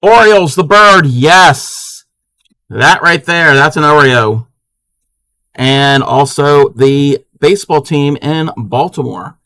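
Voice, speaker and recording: A man with a fairly high, bright, forceful voice, talking slowly and loosely to his live chat. He breaks off and restarts his sentences as he crafts. The sound is dry and close. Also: no echo, rough recording. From Maryland, USA. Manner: chatty, answers chat, many restarts. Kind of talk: casual live-stream chat.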